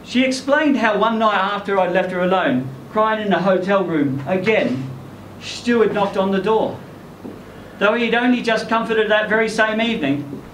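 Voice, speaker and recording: A man performing a first-person short story aloud at a live event, as its narrator. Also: high-pitched. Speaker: story reader performing in character as ageing rock singer. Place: indoor live literary event venue. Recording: echoey room, distant mic, faint noise.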